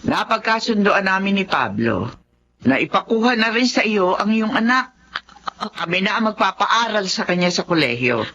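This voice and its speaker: A kind, generous middle-aged wife in a Filipino radio drama. Her pitch moves in lively swings, and the recording is dull with faint noise. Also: moderate pace. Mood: warm, pleased.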